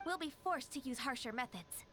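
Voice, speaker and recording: An actress voicing a calm, formal female secretary in a game. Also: high voice, slight background noise. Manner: formal, polite, measured.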